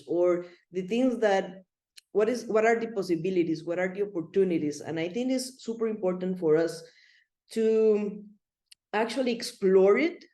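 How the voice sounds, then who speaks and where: A woman's voice: medium pitch, a little mellow, reverberant. A middle-aged woman from Rica, giving an online presentation in a friendly, rambling way with many fillers and restarts. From Costa Rica. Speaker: web3 consultant presenter.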